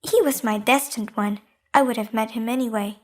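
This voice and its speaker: A woman's voice: a little high, moderate pace, lightly acted. A young woman playing a frank, lovestruck maiden returned from death, in a stage play. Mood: firm, polite.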